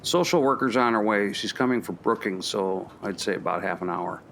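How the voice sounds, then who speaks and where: A man playing a sympathetic, level-headed professor in a naturalistic radio play, speaking in a measured, reassuring way. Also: fairly low pitch, fairly bright tone, slight background noise. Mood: businesslike. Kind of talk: naturalistic radio drama dialogue.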